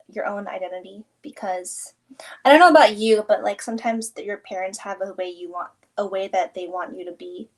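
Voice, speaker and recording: Female host, casual and upbeat, keeps affirming her guest. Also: medium pitch. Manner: enthusiastic, affirming, chatty. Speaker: interview host. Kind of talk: casual interview chat.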